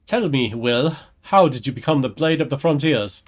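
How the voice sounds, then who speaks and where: A man's voice: mid-range voice, lively intonation, normal speed, natural voice. A man voicing a curious male adventurer, reading video game dialogue aloud in a conversational, questioning way. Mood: inquiring. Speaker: gamer voicing his player character.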